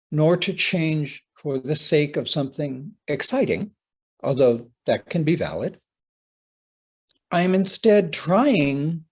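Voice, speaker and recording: Male writer-lecturer, medium pitch, raspy edge, literary and theatrical delivery with weighted pauses. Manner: theatrical, deliberate phrasing with pauses for emphasis; formal, literary wording. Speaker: writer giving a conference talk. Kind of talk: prepared lecture read from a script. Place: online talk over video call.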